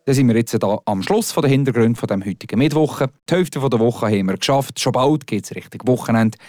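Swiss German radio presenter, easygoing, dry studio mic. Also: male voice, medium pitch, lively intonation, fairly quick, a little mellow, no room sound.

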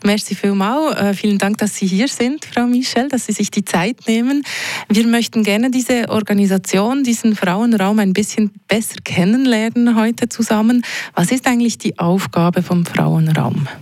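A woman's voice: mid-range voice, normal speed, darkish tone, no room sound. A woman hosting a regional radio talk show, encouraging her guest and paraphrasing her answers. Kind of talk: radio interview.

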